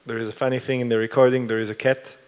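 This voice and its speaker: A man's voice: mid-range voice, little pitch movement, rapid-fire, clear, resonant tone. Man explaining a scene in a reverberant hall. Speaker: performer in post-show talk. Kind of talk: post-performance discussion.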